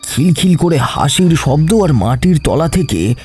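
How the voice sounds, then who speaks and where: A man playing a middle-aged village gentleman in a horror audio story, grave and fatherly, speaking slowly with pauses. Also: on the high side, sing-song, slightly rough, faint music behind, exaggerated voice. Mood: grave, knowing. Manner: slow, grave, pausing. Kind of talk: dramatic Bengali horror audio story acting.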